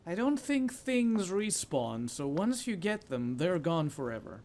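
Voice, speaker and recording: Higher-pitched man casually commentating a game. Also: big pitch swings, a little slow, a little mellow. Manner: informal, thinking aloud. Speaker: gamer playing through a game. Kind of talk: casual let's play commentary.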